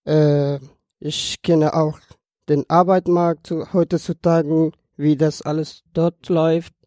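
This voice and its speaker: A man's voice: fairly high pitch, flat delivery, slow, dark tone, no room sound, clean studio sound. A man in his early twenties from Afghanistan, a native Dari speaker with an Afghan accent, telling his own story in a podcast conversation with non-native phrasing. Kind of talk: personal account in a podcast conversation.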